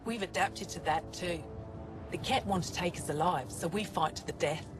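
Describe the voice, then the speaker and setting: An actress playing a cheeky, eager alien lookout soldier in a video game, chatty in manner. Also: female voice, on the low side, moderate pace, music in the background, natural voice. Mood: forthright. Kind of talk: dramatic game dialogue. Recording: clear ambient noise behind.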